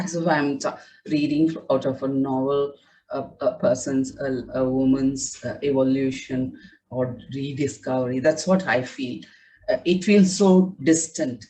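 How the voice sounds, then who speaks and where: A woman's voice: rumbling low, steady tone, a little slow, dark tone, distant mic, low-quality recording. A woman whose first language is Tamil, a writer being interviewed, talking reflectively with many fillers and restarts over a video call.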